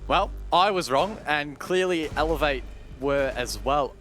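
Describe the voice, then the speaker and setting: A man's voice: a little high, measured pace, a bit bright, faint music behind. A man giving live analytical color commentary on an esports match, breaking down plays with occasional fillers. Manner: analytical, thinks aloud with fillers.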